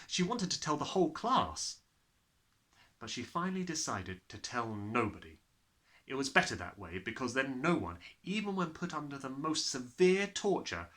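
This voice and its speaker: A man reading a children's story aloud with expressive, dramatic narration. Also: medium pitch. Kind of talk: animated scripted narration.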